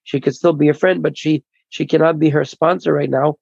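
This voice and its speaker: A man with a somewhat high, gravelly voice interprets for a speaker, pausing and restarting his phrases. The sound is dry and dull, like lo-fi video call audio. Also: steady tone, a little mellow, dry recording. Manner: halting, repeats and restarts phrases. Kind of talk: consecutive interpreting at a recovery meeting.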